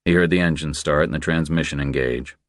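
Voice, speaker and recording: Very deep-voiced male audiobook narrator. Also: steady tone, fairly quick, no echo, clean studio sound. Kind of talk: scripted crime thriller narration.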